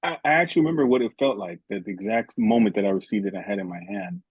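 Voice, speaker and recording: Man, from Haiti, native Haitian Creole, husky, dull dry sound, reflective. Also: fairly low pitch, racing, dry recording. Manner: reflective, repeats words while thinking. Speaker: author. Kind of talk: podcast interview.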